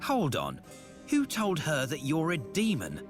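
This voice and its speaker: Sarcastic companion, dry, animated. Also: male voice, fairly high pitch, unhurried. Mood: wry. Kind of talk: video game cutscene voice acting. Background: game music.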